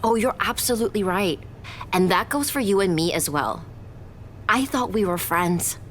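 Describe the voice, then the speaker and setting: A woman's voice: mid-range voice, lively intonation, moderate pace, fairly bright tone, a little hiss or hum, close to an everyday voice. A woman playing a betrayed, blunt young woman in a romantic audio drama, sarcastic and accusing. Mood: bitter, hurt.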